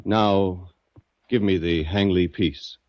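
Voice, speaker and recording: A menacing male gunman barks curt demands in old-time radio style. The voice is on the low side and very dry, on a dull vintage recording. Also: fairly even intonation, takes it slowly, a little mellow, no echo. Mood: threatening. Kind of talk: 1940s radio acting.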